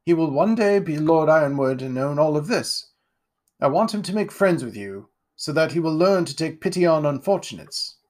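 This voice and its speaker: A man's voice: on the high side, expressive, moderate pace, darkish tone, voice pushed up, close to an everyday voice. A man playing a pompous, jovial nobleman. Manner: grand, theatrical, plummy delivery.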